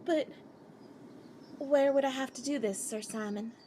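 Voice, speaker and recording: Young girl heroine for radio drama, acted by a woman: high voice, sweet, brave, innocent. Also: a little slow, mellow, slight background noise. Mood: earnest, puzzled. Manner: earnest, innocent. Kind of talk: radio play acting.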